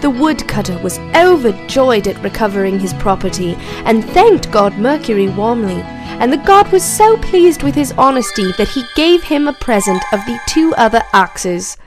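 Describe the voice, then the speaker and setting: Woman, storybook narrator, fairly high voice, clear background music, slow and even reading. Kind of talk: scripted children's story narration.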